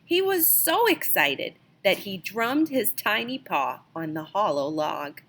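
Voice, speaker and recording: A woman reading a children's picture book aloud as an expressive storyteller, with dramatic emphasis. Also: medium pitch, slow pace. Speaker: storybook reader.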